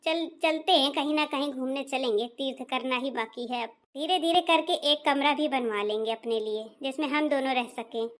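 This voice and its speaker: A woman's voice: sits high, normal speed, few pauses, close to an everyday voice. A woman voicing a kind, agreeable elderly mother-in-law in a cartoon, speaking in a homely way. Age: older. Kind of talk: cartoon voice acting.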